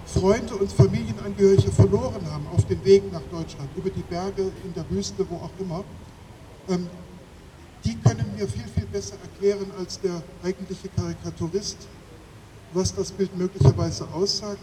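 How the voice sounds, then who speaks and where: Casting: man, high voice, deliberate rally orator, recorded from afar with clear background traffic noise. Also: steady tone. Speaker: speaker at a refugee-rights demonstration. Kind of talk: political rally speech. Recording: echoing, distant microphone, loud ambient traffic noise.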